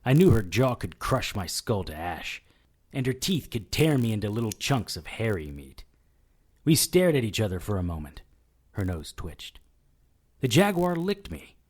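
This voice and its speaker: A man acting a hard-boiled paranormal investigator in an audio drama. The voice sits low and moves dramatically across a wide range, with sardonic, wisecracking bite. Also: a little mellow. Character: wisecracking male paranormal detective narrating his own ordeal. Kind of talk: audio drama narration and dialogue.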